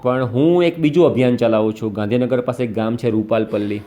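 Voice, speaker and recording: A man's voice: medium pitch, fast, mellow. A man on a phone call patiently giving a caller step-by-step advice. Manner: patient, instructive, explains step by step. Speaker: host advising a caller.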